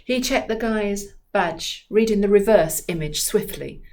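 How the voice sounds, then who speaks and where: Female author-narrator, medium pitch, unhurried and dramatic, audiobook-style reading. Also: animated, darkish tone. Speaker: author reading from own book.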